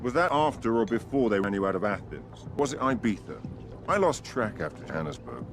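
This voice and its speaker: An actor playing a cool, dry-witted British secret agent in a spy game, commanding and unflappable. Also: male voice, fairly low pitch, sing-song, a little fast, music in the background. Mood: dry, teasing. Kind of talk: cinematic spy game acting.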